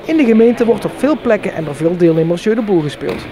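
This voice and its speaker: A man with a high-pitched voice delivers scripted local news narration. Clear ambient noise runs behind his voice throughout. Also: animated, fast.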